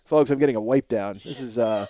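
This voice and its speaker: A man's voice: medium pitch, a little fast, dark tone. A man hosting a comedy podcast in a rambling, self-mocking monologue, ranting with profane exasperation.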